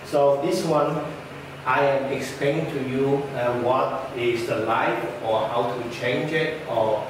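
A young man presents a product walkthrough to a room. He pauses often with "uh" fillers and uses phrasing. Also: mid-range voice. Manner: halting, frequent "uh" fillers, non-native phrasing. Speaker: product trainer. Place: indoor meeting room with projector screen. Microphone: far camera. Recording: echoey room from far off, background noise.

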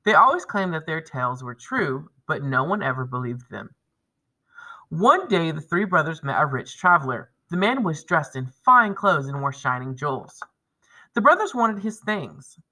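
A man's voice: fairly high pitch, big pitch swings, normal speed, bright, forceful tone, poor audio. A man in his thirties teaching a reading lesson to children, explaining and reading aloud with emphasis. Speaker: elementary school teacher.

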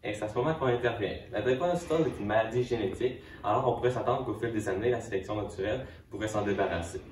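A man's voice: fairly low pitch, fast, a little hiss or hum. A young man student giving a science fair presentation, hesitant, with fillers and restarts. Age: young adult. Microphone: far camera. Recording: echoey room from far off.

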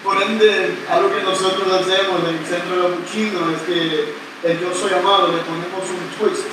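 A priest with a fairly high voice delivers a playful, joking sermon to a big congregation. He sounds distant and heavily echoey, picked up by a camera far back under an open-air covered pavilion, with clear background noise throughout. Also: fairly even intonation, normal speed. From not Puerto Rican. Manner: playful, joking with the congregation, leads call-and-response. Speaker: priest preaching. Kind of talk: sermon at a retreat Mass.

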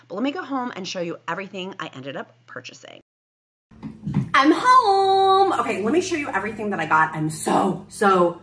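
A woman in her thirties talks through her grocery haul in a bubbly, gushing way. Her voice sits a little low and has a breathy, husky edge. It sounds like she is filming on a handheld phone. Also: fairly bright tone. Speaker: vlogger. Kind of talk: grocery haul vlog narration. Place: grocery store aisles, then home.

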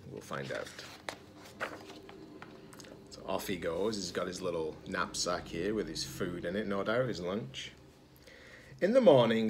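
A man in his forties, a teacher-librarian reading a children's picture book aloud, expressive and slightly husky, pausing to ask listeners questions. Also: deep voice, a little hiss or hum. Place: indoors, room at home with sloped ceiling.

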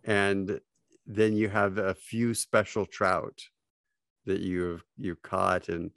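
A middle-aged man telling fishing stories in a slow, reflective way with frequent pauses, speaking from a home office over a video call with earbuds. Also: on the low side, no echo, clean studio sound. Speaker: podcast guest, fly fishing writer. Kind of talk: casual storytelling. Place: home office with bookshelves, indoors. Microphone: wired earbuds, video call.

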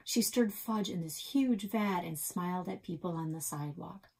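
A woman's voice: fairly low pitch, moderate pace, creaky, a little mellow, breathy edge. A middle-aged woman reading a children's novel aloud as a storyteller, recorded in a small office at about arm's length.

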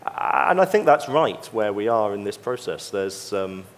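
A middle-aged man speaking as a consultant in an unscripted conference panel discussion, measured and careful to qualify his points. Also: lowish voice, very animated. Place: on stage in a large conference hall. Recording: reverberant conference hall.

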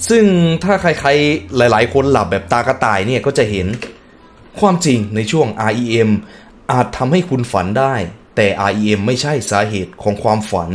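A man's voice: mid-range voice, animated, moderate pace, slight background noise. A man hosting a reaction video, reading text aloud and breaking off into casual musing remarks and hums.

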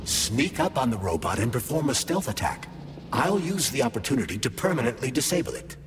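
An actor playing a courteous, helpful artificial intelligence companion giving instructions in a video game. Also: male voice, medium pitch, sing-song, brisk, natural voice. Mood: calm advice.

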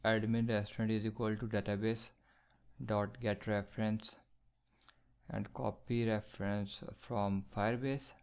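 A man narrates a coding screencast, reading out each line of code as he types. His voice is gravelly and his delivery flat and unhurried, broken up by small fillers. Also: on the low side. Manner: slow, dictating code step by step with fillers. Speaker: programming tutor.

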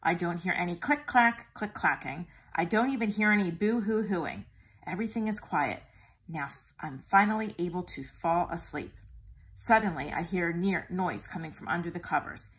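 A woman reading a children's picture book aloud in an expressive teacher's manner, drawing out the sound words. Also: lowish voice, moderate pace, husky. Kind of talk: animated children's storybook reading.

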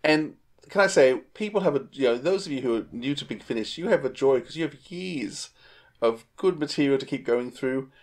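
A man's voice: mid-range voice, animated, a little fast. A middle-aged man with an Australian accent, chatting casually as a podcast co-host on a video call. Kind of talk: casual chat.

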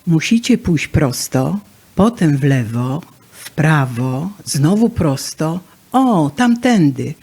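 A woman playing a helpful market passer-by in an amateur radio play, with a husky voice. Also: sits low, very animated, takes it slowly, dark tone, heavily acted.

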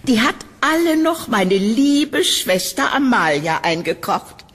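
Horror audio drama landlady , played by a woman: older, condescending, fairly high husky voice, sugary menace. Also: sing-song, fairly bright tone, full character voice. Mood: falsely sweet. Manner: drawn-out, patronizing endearments. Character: older landlady, falsely sweet, condescending and sinister.

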